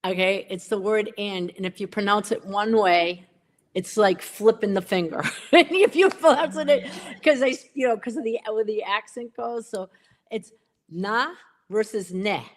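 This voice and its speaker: A woman's voice: medium pitch, unhurried. A woman co-hosting a casual livestream chat over a video call, talkative and self-deprecating, often laughing. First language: English. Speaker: co-host of a pen livestream.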